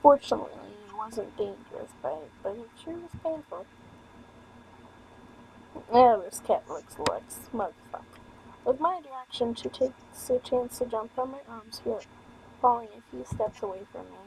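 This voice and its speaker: A woman's voice: a little high, lively intonation, moderate pace, vocal fry, a little mellow, slight background noise, rough recording. A woman reading a visual novel aloud as a streamer, casually, stumbling over words and tossing in irreverent, sweary asides. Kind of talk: casual game let's play, reading story text aloud with offhand comments.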